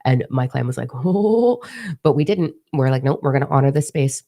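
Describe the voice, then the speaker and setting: A young woman with a hoarse, partly lost voice, giving a casual spiritual talk and confiding freely with plenty of swearing. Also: a bass voice, expressive, normal speed, warm tone. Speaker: spiritual teacher.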